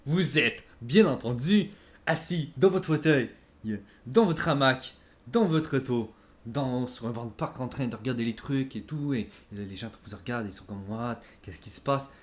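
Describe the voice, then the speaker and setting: A man's voice: medium pitch, moderate pace. A man giving casual, playful reaction commentary, exclamatory and slangy, with English words mixed in. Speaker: host of a reaction video.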